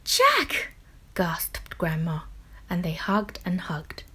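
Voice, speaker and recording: A woman reading a children's picture book aloud in expressive, deliberate narration with dramatic phrasing. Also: fairly low pitch, husky. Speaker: children's story reader.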